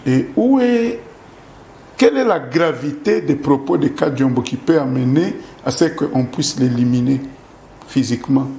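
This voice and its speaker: A man's voice: mid-range voice, expressive, measured pace, vocal fry, warm tone, echoey room, slight background noise. A man with a Congolese French accent arguing insistently as a guest in a political interview, repeating himself and pressing points with rhetorical questions. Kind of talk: heated political argument.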